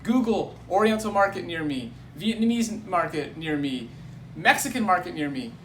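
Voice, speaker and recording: A man's voice: high voice, animated, moderate pace, a bit bright. A man giving a casual, instructive and enthusiastic demonstration, explaining things as a guest presenter. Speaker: guest presenting tropical fruits. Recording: roomy echo, clear background noise.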